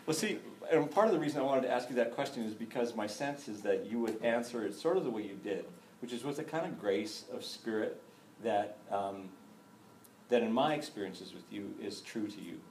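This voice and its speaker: Man, interview host, low voice, thoughtful, clear background noise. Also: animated, fairly quick, a little mellow, far from the mic. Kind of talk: conversational interview. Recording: echoey room with background noise.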